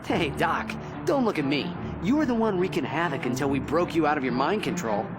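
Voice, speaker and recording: An actor playing a wisecracking young superhero in a video game, with sarcastic, flippant delivery. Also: male voice, a little high, expressive, fairly quick, over loud music, close to an everyday voice. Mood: casual teasing.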